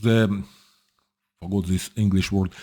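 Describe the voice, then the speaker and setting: A middle-aged man from Ukraine whose first language is Russian, giving blunt, dismissive unscripted commentary in halting English, often stopping to search for words. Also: sits low, steady tone, dark tone.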